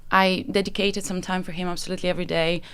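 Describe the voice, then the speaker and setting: A woman's voice: lowish voice, level tone, fast talker, a bit bright, smooth. A young woman from Bulgaria with a Bulgarian accent, a guest on a student radio show, speaking thoughtfully with many ums and uhs. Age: young adult. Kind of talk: casual chat on student radio.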